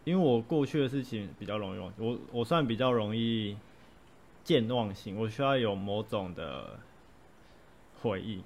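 A young man with a fairly low voice, co-hosting a relaxed chat. He answers calmly and briefly, and faint hiss sits under the recording. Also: normal speed. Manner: calm, brief replies. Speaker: co-host, nephew. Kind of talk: casual chat.

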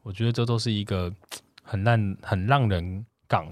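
A man's voice: fairly low pitch, fairly even intonation, normal speed, a little hiss or hum. A man chatting casually as a podcast co-host. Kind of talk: casual chat.

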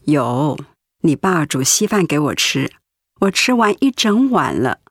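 Husky, gentle ailing mother. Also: deep voice, big pitch swings, unhurried, dry recording, clean studio sound, full character voice. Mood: calm. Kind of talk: Mandarin radio drama acting.

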